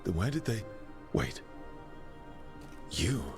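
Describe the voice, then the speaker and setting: An earnest adventurer and leader in a fantasy game. His voice sits on the low side, plain-spoken and probing, over clear music. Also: very animated, takes it slowly, full character voice. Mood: questioning. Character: earnest, questioning male adventurer, leader of a band.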